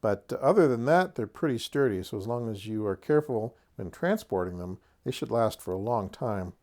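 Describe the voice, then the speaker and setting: A man's voice: fairly low pitch, lively intonation, normal speed, darkish tone. A man hosting a casual tabletop product review, chatty and folksy, with lightly humorous asides. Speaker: host of a tabletop wargaming terrain review. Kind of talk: casual product showcase commentary.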